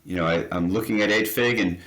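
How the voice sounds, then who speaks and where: A man's voice: deep voice, a little fast, fairly bright tone. Casual male interview host, echoey. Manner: casual, filler-heavy questions. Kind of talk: business interview.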